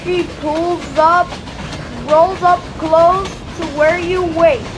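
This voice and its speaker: A woman reads a picture book aloud in a high voice. Her pace is slow, with short, deliberate phrases set apart by pauses. The delivery is expressive and emphatic, as storybook reading. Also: noisy background. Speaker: storybook reader.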